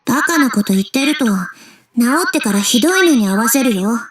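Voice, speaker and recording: A woman's voice: a little high, expressive, very fast, a crowd in the background, lightly acted. An actress playing a guarded, sick and weary young woman in an anime visual novel. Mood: dry, threatening. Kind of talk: Japanese anime game voice acting.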